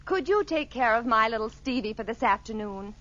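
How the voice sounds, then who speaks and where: A woman's voice: fairly high pitch, animated, a little fast, fairly bright tone. An actress playing a sweet, chatty young mother and new neighbor, effusively polite, in a 1940s radio sitcom. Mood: politely asking. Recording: muffled, noisy radio broadcast with audience.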